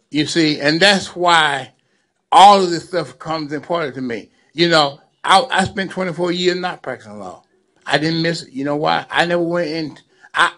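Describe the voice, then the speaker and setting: A man's voice: high-pitched, sharp tone. A man in his seventies giving a speech to a gathering, preacherly and repeating phrases for emphasis. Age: 70s. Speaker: lawyer and community activist.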